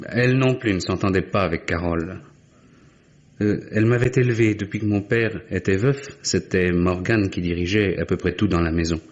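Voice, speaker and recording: A man's voice: sits low, moderate pace, lo-fi sound, natural voice. An actor playing an earnest, troubled young married heir in his late twenties, in an old-style French radio drama. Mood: sombre, recounting.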